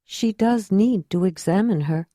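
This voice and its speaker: A woman voicing a large, commanding spiritual leader who speaks in a measured, formal way. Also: mid-range voice, dark tone, no echo, clean studio sound, natural voice. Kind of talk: audiobook character voice.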